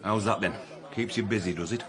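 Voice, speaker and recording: An actor playing a pompous, bullying police superintendent in a radio drama, needling and condescending. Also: male voice, lowish voice, brisk, close to an everyday voice. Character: pompous bullying senior police superintendent, heavy-set man. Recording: background crowd chatter.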